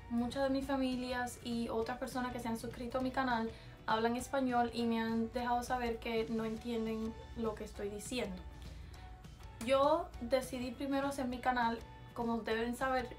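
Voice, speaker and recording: Young cuban girl vlogging from her bedroom, kinda high voice, sprinkles english words in, faint music behind. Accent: Cuban Spanish. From Cuba. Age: young adult. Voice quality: chatty, mixes in English words. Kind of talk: casual vlog, taste test. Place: small bedroom, indoors.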